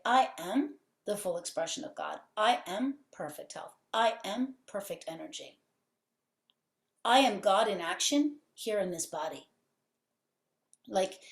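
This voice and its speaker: Fervent woman talking on a podcast, keeps stacking up repeated phrases, mid pitch voice with a lot of room echo around it. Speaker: podcast guest, healing center owner. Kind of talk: conversational interview. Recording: roomy echo.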